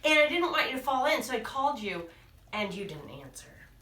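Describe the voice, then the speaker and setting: A small storybook monster child, anxious and imaginative, spills out one what-if after another in a lively, bright, slightly raised voice, as a woman reads it aloud. The sound is roomy and echoey, with the voice a little distant from the mic. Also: on the high side, a little fast. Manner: eager, tumbling run-on questions.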